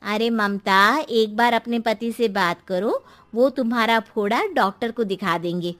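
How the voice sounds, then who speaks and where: A woman's voice: on the high side, a little slow, a bit bright. A woman playing a bossy, outspoken neighbour in a dramatised story, bluntly handing out advice. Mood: concerned, urging. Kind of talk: dramatised Hindi moral story.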